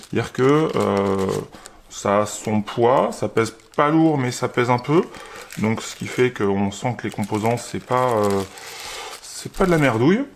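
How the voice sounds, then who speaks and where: A man's voice: medium pitch, animated, a little slow, creaky, slight background noise. A man giving a casual, unscripted product unboxing, informally thinking aloud as he handles the item.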